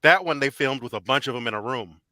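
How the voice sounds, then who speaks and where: A man's voice: mid-range voice, fast, bright, forceful tone, dry recording, studio quality. A man chatting casually on a panel, sharing bits of trivia in an informative way. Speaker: podcast panelist. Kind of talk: casual chat.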